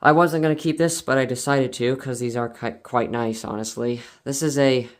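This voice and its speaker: A man talks casually through a collection like a hobby vlogger. He is enthusiastic and rambling, often doubling back and stumbling over his words. His voice sits at an ordinary middle pitch. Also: steady tone, normal speed. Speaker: collector showing weather radios and scanners. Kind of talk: casual collection showcase vlog.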